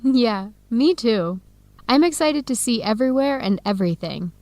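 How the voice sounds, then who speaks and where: High-voiced, eager American woman researcher. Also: expressive, moderate pace, darkish tone, no room sound. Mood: curious, cheerful. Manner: enthusiastic, encouraging. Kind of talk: radio drama acting, clear educational dialogue.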